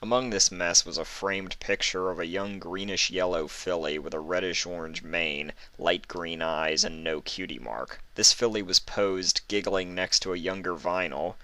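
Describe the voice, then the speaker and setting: Deep, bright-edged male story narrator. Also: dry recording, rough recording.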